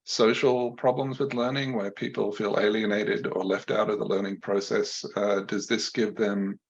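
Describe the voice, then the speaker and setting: A man on a panel speaking thoughtfully and hesitantly, with many pauses and fillers, over a video call. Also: lowish voice, level tone, lo-fi sound.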